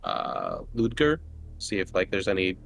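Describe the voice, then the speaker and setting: A man's voice: deep voice, wildly swooping pitch, unhurried, creaky, a bit bright, a little hiss or hum. A man chatting casually as a player in a tabletop roleplaying game, describing what his character does.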